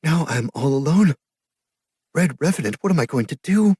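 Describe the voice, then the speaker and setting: A grieving young woman cries and pleads in a high, shaky voice, as a male reader puts her on. Her words tumble out between sobs, and a husky grain sits under the strain. The reading is dry and close, with no room around it. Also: sing-song, normal speed, a little mellow, no echo. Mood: tearful. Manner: teary, sobbing, breathless pleading.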